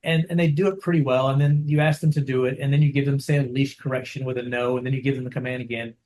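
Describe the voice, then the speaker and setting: A man who trains dogs, talking casually over a video call, soft-spoken and rambling, often checking in with "right?". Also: medium pitch, fairly even intonation, fast. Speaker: dog trainer.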